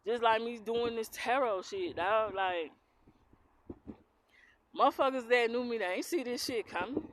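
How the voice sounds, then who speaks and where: Woman, Southern accent, blunt and defiant, curses freely, tarot vlogger, clear noise behind her. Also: a little high, measured pace, long pauses. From Philadelphia, raised in the US South. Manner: blunt, heavy cursing, defiant. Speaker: tarot reader. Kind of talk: casual to-camera rant.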